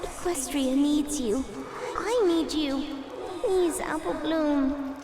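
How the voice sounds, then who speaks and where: A woman's voice: high-pitched, takes it slowly, background noise. A woman playing a dying magical tree seed spirit in a fantasy audio drama, speaking in a formal, grave, earnest way. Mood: pleading.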